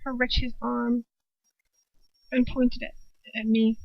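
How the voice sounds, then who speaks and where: A woman's voice: a little high, level tone, slow pace, vocal fry, dry recording. A woman reading a story aloud as an amateur narrator, in a dramatic first-person style. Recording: dull lo-fi home recording.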